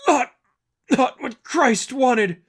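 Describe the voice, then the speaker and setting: A man's voice: very high-pitched, very slow, slightly rough, pitched above the performer's own voice, full character voice. Man voicing a battered, menacing religious fanatic. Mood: pleading, pained. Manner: struggling, strained, sanctimonious.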